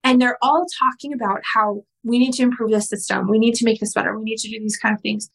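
A woman in her thirties whose first language is Spanish, telling her story in an interview in a frank, animated way and swearing casually. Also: on the high side, quick pace, creaky, breathy edge, poor audio. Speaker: guest entrepreneur.

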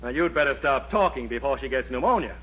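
A man's voice: mid-range voice, animated, fast talker, breathy edge. An actor playing a suave, shrewd male society editor in 1940s radio sitcom style. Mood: suspicious, menacing. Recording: muffled, noisy 1940s radio recording.

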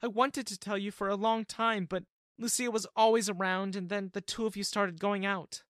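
A man's voice: sits high, steady tone, normal speed, fairly bright tone, no room sound, studio quality, pitched above the performer's own voice. A man voicing a scheming, flirtatious middle-aged woman who talks in a wheedling, insistent way. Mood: coy.

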